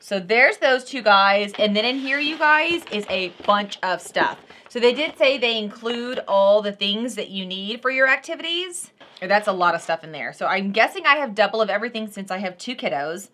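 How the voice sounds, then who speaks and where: A woman's voice: mid-range voice, expressive, normal speed, hardly any pauses, pushed and bright. A woman in her thirties giving a casual, unscripted product review as a vlog host, talking to viewers in an informal, folksy way.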